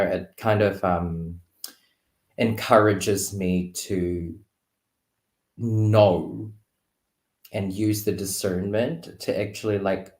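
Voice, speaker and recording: A man's voice: sits low, darkish tone. A man chatting casually as a guest, talking reflectively in a loose conversational way. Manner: reflective, conversational, many filler phrases. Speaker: guest tarot reader. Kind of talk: casual chat. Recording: roomy, echoing sound.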